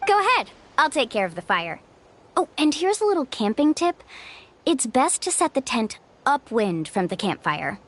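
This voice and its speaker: A woman's voice: high-pitched, very animated, moderate pace, a little hiss or hum. An actress voicing a caring young woman who leads her group as their guide in a video game, reassuring and upbeat. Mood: cheerful. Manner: warm, reassuring, leader-like. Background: game music.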